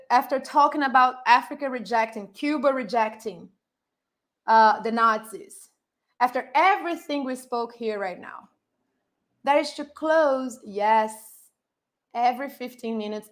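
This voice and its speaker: A woman with a Brazilian Portuguese accent, a native Portuguese speaker, co-hosting a political livestream with passion and frequent laughter. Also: fairly high pitch. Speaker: co-host.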